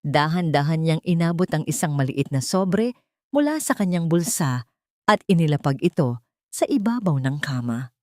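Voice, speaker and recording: A woman's voice: deep voice, big pitch swings, moderate pace, dark tone, no echo, clean studio sound. A woman reading a scripted dramatic story as an audiobook narrator.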